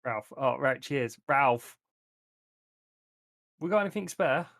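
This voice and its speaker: A man's voice: medium pitch, expressive, slow pace, creaky, fairly bright tone, dry recording, studio quality. A man playing a tabletop game, talking in casual banter that slips in and out of character.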